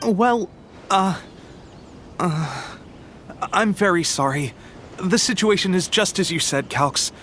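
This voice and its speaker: A man's voice: sits high, animated, slow pace, long pauses, fairly bright tone, slight background noise, full character voice. A man playing an apologetic, earnest publishing clerk in a video game, speaking deferentially.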